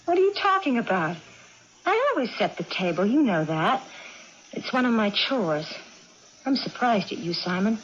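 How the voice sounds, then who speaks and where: A woman's voice: medium pitch, sing-song, moderate pace, close to an everyday voice. Prim, contrary young daughter played by a woman for period radio drama. Curt and matter-of-fact, on a dull, noisy vintage recording. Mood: indignant. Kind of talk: 1980s radio drama acting.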